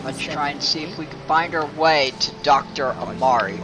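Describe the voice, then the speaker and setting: A man gives casual live commentary as he streams a game. His voice sits a bit high. Music from the game is clearly heard behind him. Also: normal speed.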